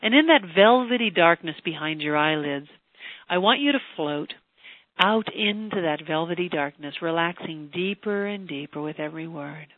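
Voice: medium pitch, animated, no echo. Older teleseminar host on a tinny phone line. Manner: effusive and chatty, turning slow and soothing for a trance induction. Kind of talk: live teleseminar, then guided relaxation script.

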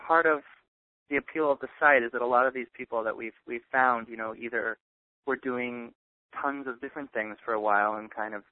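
A man's voice: mid-range voice, fairly even intonation, fairly quick, no room sound. A young man talking casually as an interview guest, rambling with many fillers and restarts. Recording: tinny phone-like line.

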